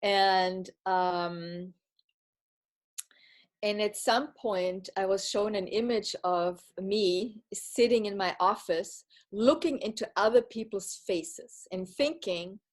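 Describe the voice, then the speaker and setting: A woman who grew up speaking Bavarian tells a personal story in English. She speaks at a middle pitch, reflective and earnest, often circling back and restarting her sentences. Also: slow pace. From Bavaria, Germany. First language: Bavarian German. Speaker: retreat participant giving a testimonial.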